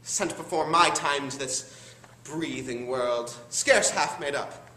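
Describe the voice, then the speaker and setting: A teenage male student performing a bitter, deformed, scheming duke in a theatrical, deliberate Shakespearean stage monologue. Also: on the high side, sharp tone. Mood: bitter, anguished. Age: teens. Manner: theatrical, deliberate, dramatic pauses. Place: high school classroom. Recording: roomy classroom recording, faint background noise.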